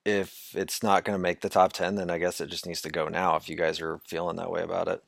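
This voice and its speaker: A man co-hosting a casual podcast chat, easygoing but quick to protest. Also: deep voice, little pitch movement, gravelly, dry recording, clean studio sound. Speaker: podcast co-host.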